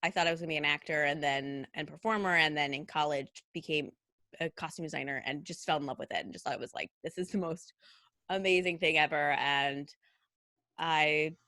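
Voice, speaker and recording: A woman's voice: sits low, fairly even intonation, brisk, bright, forceful tone, no echo. A woman in her thirties, a costume designer as interview guest, talking in a chatty way, full of "like" and "you know". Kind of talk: casual conversational interview.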